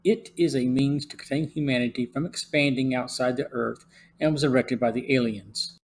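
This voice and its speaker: A man's voice: medium pitch, breathy edge. A man hosting a podcast and reading a scripted review of old radio episodes. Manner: steady, even read-aloud delivery. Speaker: podcast host.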